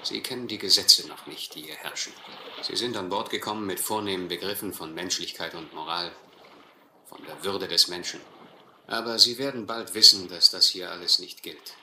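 An actor playing an educated, resigned gentleman castaway in a radio play, articulate, earnest and bitter. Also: low voice, moderate pace. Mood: bitter, urgent. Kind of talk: German radio play narration and dialogue. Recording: roomy, faintly noisy radio play recording.

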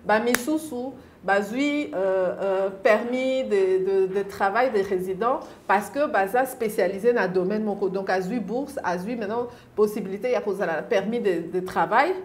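A woman's voice: medium pitch, moderate pace, few pauses. A middle-aged woman from the Congo, answering as a guest in a TV studio interview, insistent and gesturing as she talks. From Democratic Republic of the Congo. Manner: animated, gesturing with her hands, insistent. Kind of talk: studio interview, mixing French and Lingala. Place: TV studio, indoors.

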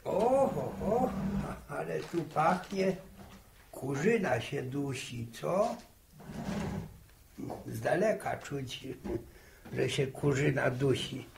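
A gravelly old country grandfather, genial and nosy, taking his time over each phrase. An old radio play recording, noisy and echoey. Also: a little high, lively intonation, full character voice. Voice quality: creaky. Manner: folksy, wheedling, drawn-out. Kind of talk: 1960s Polish radio drama acting.

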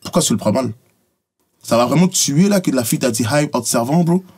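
A rapid-fire man with a Montreal French accent and a husky edge to his voice. He talks bluntly and with emphasis, peppering his points with street slang as a rapper guest in a studio podcast. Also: mid-range voice, lively intonation, a little mellow. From Montreal, Quebec. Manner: blunt, emphatic, says bro and tu comprends. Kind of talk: casual podcast conversation.

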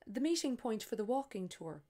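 A woman's voice: on the high side, very animated, brisk, dark tone, voice pushed up. A woman doing polite German-accented tourists. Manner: polite, careful.

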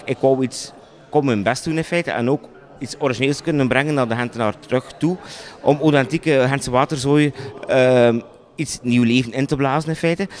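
A man in his thirties with a Flemish accent, a chef giving spontaneous answers in an interview. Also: mid-range voice. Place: indoors in a panelled room. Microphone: handheld reporter microphone.

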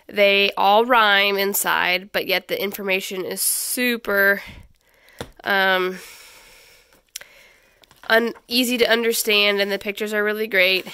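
A stuffy, congested woman in her thirties talks through a stack of books in a small home schoolroom, chatty and peppered with ums. Her voice is bright and crisp, with a slight gravelly fry. The close camera mic gives a dry, near sound. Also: medium pitch, no echo. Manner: chatty, many ums and restarts. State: stuffy from a head cold. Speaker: homeschool channel host. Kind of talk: casual book review walkthrough.

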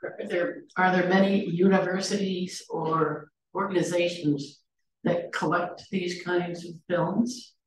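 A man's voice: sits high, steady tone, takes it slowly. A man asking a question from the audience, hesitant and searching for words. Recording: very echoey, distant, faint noise.

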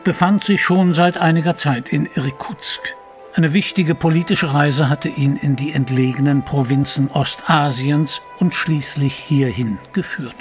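A man reading scripted narration as the narrator of an audio drama. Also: a little high. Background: music.

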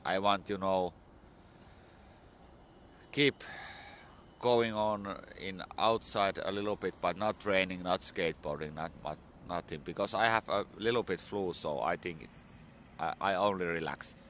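A man in his forties with a Finnish accent, a bit hoarse from a cold, talking in halting English with many fillers into a phone held at arm's length outdoors. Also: deep voice, fairly bright tone, slight background noise. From Finland. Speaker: vlogger. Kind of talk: casual outdoor vlog talk. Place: outdoors on a sunny spring morning.

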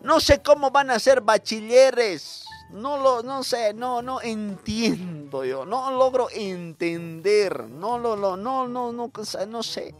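Exasperated Bolivian schoolteacher, played by a man, swooping pitch. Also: expressive, faint music behind. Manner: halting, repetitive, exasperated. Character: weary, scolding schoolteacher given to rambling lectures. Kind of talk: comic radio monologue.

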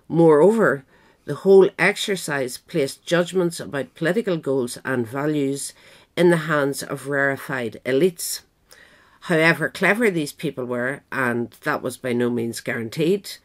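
A woman reads a nonfiction essay as an audiobook narrator. Her voice sits at a low pitch for a woman, and her delivery is measured and formal, following a written text. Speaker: audiobook reader. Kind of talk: scripted narration of a political history essay.

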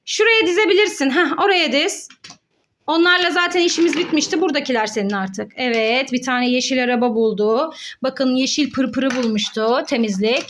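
A young woman playfully hosting a children's game as a mother, encouraging and coaxing in an animated, sing-song way. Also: sits high, moderate pace, a little hiss or hum.

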